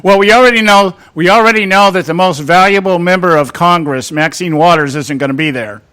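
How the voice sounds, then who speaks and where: Jokey bright-voiced talk radio host. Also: male voice, high voice, brisk, smooth. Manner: jokey, laughing banter. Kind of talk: casual talk radio chat.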